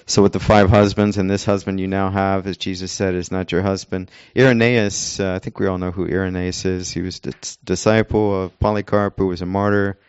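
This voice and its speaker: Deep flat-voiced man explaining scripture. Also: brisk, few pauses, clear, resonant tone, no room sound. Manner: earnest, explanatory, citing history. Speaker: church member sharing. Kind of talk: unscripted church discussion. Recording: dry, dull lo-fi sound.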